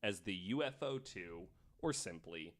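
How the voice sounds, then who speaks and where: A man's voice: deep voice, expressive, unhurried. A man hosting a podcast, telling stories from notes in an informal, casual way. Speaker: podcast host.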